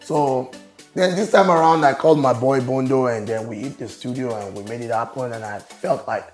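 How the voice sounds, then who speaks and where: A man with a raspy, mid-pitched voice tells his story in a relaxed, confident way. He sounds like a guest on an interview show. The room is roomy and echoey, with faint music underneath. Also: normal speed. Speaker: guest, business owner and show promoter. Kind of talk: casual interview talk.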